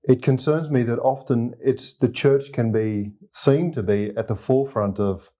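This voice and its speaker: A man hosting a podcast interview, asking questions and quietly affirming the guest with frequent murmurs. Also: mid-range voice, measured pace. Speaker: podcast host.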